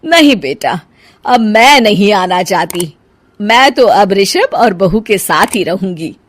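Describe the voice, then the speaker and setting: A woman's voice: medium pitch, lively intonation, slow pace, no room sound. A woman voicing an elderly, emotional and sulky mother-in-law in a cartoon moral story. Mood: gently firm.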